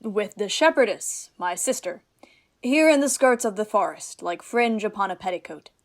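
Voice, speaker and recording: A woman acting a witty, lovestruck young noblewoman in disguise in a Shakespearean radio play, theatrical and quick-witted. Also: medium pitch, animated, close to an everyday voice. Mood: playful mocking.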